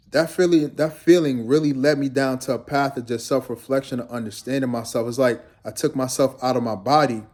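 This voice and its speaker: A man's voice: mid-range voice, a little mellow. A young man from Guyana, a fitness trainer, talking casually and rambling to his viewers in a laid-back vlog.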